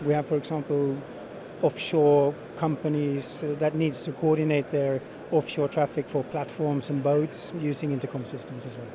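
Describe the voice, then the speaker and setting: A middle-aged man giving unscripted interview answers as a sales manager, speaking in halting phrases with frequent repeats. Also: on the high side, level tone. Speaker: sales manager being interviewed at a trade show. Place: busy exhibition hall. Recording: busy trade show crowd noise.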